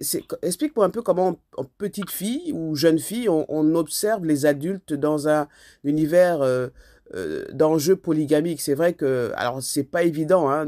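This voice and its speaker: A woman interviews a guest as a radio host, in a conversational style. Her voice sits at a medium-low speaking pitch for a woman and is recorded close and dry. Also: darkish tone, dry recording.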